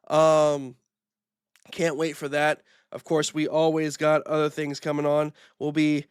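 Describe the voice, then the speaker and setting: Hoarse guy rambling on his podcast mic, kinda high voice, super dry sound, lots of ums and restarts. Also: dry recording. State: hoarse from recent illness. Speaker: podcast host. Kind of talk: solo wrestling opinion podcast.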